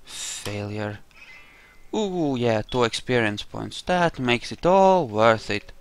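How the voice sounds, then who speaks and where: A man's voice: mid-range voice, animated, takes it slowly. A man giving casual let's play commentary on a roleplaying game, thinking aloud in a rambling way as he goes. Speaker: gamer narrating his own roleplay playthrough.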